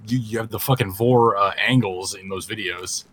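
A man's voice: lowish voice, normal speed, a bit bright, breathy edge, no room sound. A man co-hosting a podcast in casual comedic banter, stammering and joking often. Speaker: podcast co-host.

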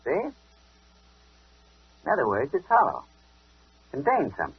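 An actor playing a tough, sly older police inspector in a 1940s radio detective drama, taunting and commanding in clipped speech. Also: male voice, a little high, huge pitch swings, measured pace, a little hiss or hum, heavily acted. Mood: sly, deliberate. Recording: dull old radio broadcast recording.

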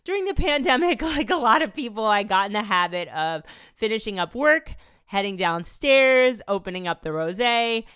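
Young woman hosting a podcast, bit stuffed up from a cold, super clear voice, chatty. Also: on the high side, expressive, normal speed, fairly bright tone. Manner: chatty, upbeat, laughs between phrases. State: congested, recovering from a cold. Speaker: podcast host. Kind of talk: casual podcast chat and solo outro. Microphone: studio microphone.

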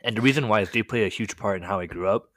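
A young man from the United States, whose first language was Korean, telling his childhood story in a relaxed, rambling way, full of "like" and "um". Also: fairly low pitch, racing, no room sound, clean studio sound. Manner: relaxed, rambling, heavy use of "like" and "um" fillers. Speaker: podcast guest telling his life story. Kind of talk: casual storytelling chat.

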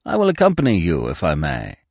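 A man's voice: deep voice, huge pitch swings, quick pace, a little mellow, no room sound, clean studio sound, exaggerated voice. A man doing a suave, languid young poet. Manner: smooth, grandly polite.

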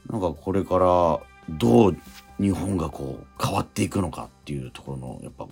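A man co-hosting a radio show, talking casually in halting, reflective phrases full of interjections. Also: low-pitched, animated, creaky, a little mellow, husky. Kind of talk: casual conversational radio talk. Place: radio studio. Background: music playing behind the voice.

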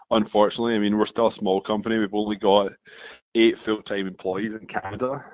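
A Scottish man in his thirties, a company founder talking casually as a guest in an interview, explaining things in a steady flow and often restarting phrases. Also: lowish voice, no echo. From Scotland. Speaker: founder of a marketing agency, guest. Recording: dull muffled dry recording.

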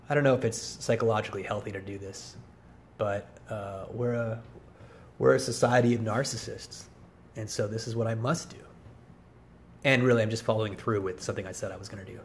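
A man's voice: fairly low pitch, gravelly, a little hiss or hum. A man of about forty hosting a podcast in a rambling, casual solo monologue, with a wry, self-deprecating, digressive manner. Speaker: podcast host.

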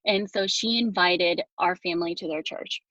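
Woman in her 30s from venezuela, chatty with lots of ums, kinda low voice, dull muffled audio. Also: moderate pace, bright, forceful tone, no echo. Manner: chatty, many fillers and restarts. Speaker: podcast guest. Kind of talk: casual conversation, personal story.

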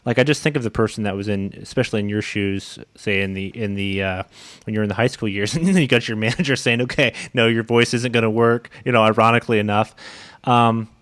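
A man's voice: lowish voice, fast talker, fairly bright tone, dry recording. A man hosting a casual interview, feeling his way through long, rambling questions. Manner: rambling, hesitant phrasing of questions. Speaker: interview host.

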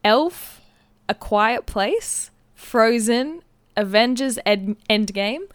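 Female podcast host, smooth and clear, chatty and giggly, close dry studio sound. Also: a little high, animated, extremely slow, no room sound. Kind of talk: casual chat, explaining a game. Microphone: close podcast microphone.

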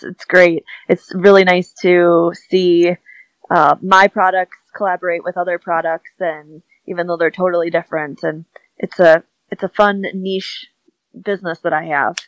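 A woman's voice: lowish voice, no room sound, low-quality recording. A woman in her thirties from Wisconsin with a Midwestern accent, chatting as a guest on an interview podcast, laughing often and using plenty of "um" fillers. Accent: Midwestern American (Wisconsin). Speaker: podcast guest, small business owner. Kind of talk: casual interview chat.

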